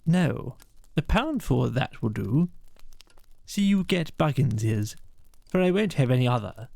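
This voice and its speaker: A man voicing a hearty, masterful rat in a storybook, bossy but kindly, with a brisk, commanding manner. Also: medium pitch, big pitch swings, gravelly, darkish tone, no echo, heavily acted. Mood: muttering, insistent.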